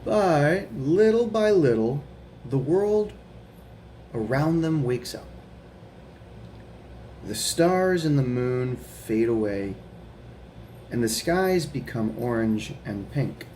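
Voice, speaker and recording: A young adult man reading a children's picture book aloud at home, in a gentle storytelling way with chatty asides and questions for the listeners. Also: mid-range voice, animated, slow, pauses often, slight background noise. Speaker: guest reader of a children's book. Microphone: camera mic.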